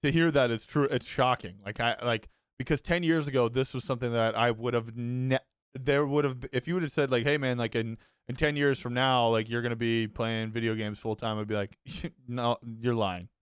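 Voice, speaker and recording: Casting: young male host, clear smooth voice, dry close sound, stumbling casual delivery. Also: mid-range voice, steady tone, no echo. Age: young adult. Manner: halting, filler-heavy, laughs often. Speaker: podcast host. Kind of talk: casual podcast chat.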